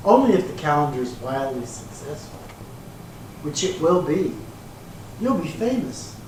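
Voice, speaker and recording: A man acting in a readers theater piece as a slick, smooth-talking promoter with a grandiose, placating salesman's patter. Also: fairly high pitch, very animated, measured pace. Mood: defensive, placating. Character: slick, smooth-talking male promoter pitching a glamour calendar. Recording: echoey hall from far off, hissy room noise.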